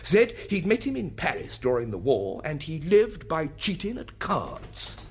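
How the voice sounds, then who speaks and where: An actor playing a blustering, evasive English aristocrat in a radio drama, with a clipped upper-class accent and a hesitant, old-fashioned manner. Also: male voice, on the high side, wildly swooping pitch, measured pace, husky, full character voice.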